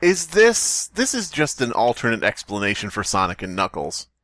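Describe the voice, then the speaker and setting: Animated male podcast host. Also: medium pitch, moderate pace, no room sound. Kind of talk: joking banter and comic story reading.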